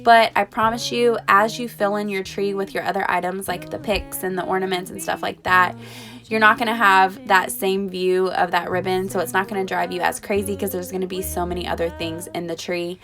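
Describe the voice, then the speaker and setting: A woman narrates a voiceover while still getting over a cold, so her voice sounds a little off. She talks in a friendly, reassuring, conversational way at a medium pitch. Faint music runs underneath. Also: flat delivery, a little fast, creaky, fairly bright tone. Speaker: home decor vlogger. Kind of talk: voiceover tips.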